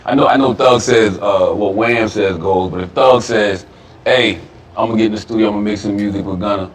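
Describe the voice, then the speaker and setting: A low-voiced man interviews a guest, asking direct questions and murmuring his agreement between them. He sits back from the mic, so his voice sounds distant, with a faint room hum behind it. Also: a little fast. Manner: frequent murmured backchannels.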